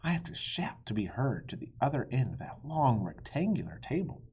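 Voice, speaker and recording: Storybook knight, played by a male reader: weary, groaning, husky and breathy. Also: medium pitch, sing-song, moderate pace, a little mellow, muffled recording, full character voice. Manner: groaning, complaining. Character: weary groaning knight, husband and father, problem-solver.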